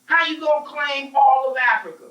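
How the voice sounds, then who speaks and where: A man's voice: very high voice, normal speed, pushed and bright, dull audio. An American man in an opinionated group discussion, speaking emphatically like a preacher and repeating phrases to drive his points home. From United States. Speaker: panel speaker on a religious talk show. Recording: roomy echo, distant microphone.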